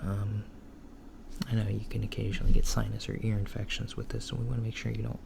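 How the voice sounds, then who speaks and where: A man playing a calm, attentive male alien doctor in a softspoken ASMR roleplay, talking gently and hesitantly, with frequent ums and slips into a whisper. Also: on the low side, vocal fry. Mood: gentle. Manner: soft-spoken, unhurried, slipping into whispers. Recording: lo-fi with steady background hiss.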